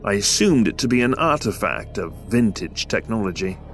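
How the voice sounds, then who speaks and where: An actor playing a polite, reserved young English heir, speaking in courteous, formal phrases in an audio drama. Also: male voice, fairly low pitch, very animated, normal speed, a bit bright, soft background music. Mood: brooding. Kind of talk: scripted audio drama with first-person narration.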